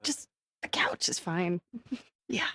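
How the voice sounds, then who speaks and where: An actress playing a determined, caring young newspaper reporter with an American accent, in naturalistic, conversational video game dialogue with wry asides. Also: female voice, lowish voice, animated, slow, pushed and bright, dry recording, exaggerated voice. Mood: curious, tentative.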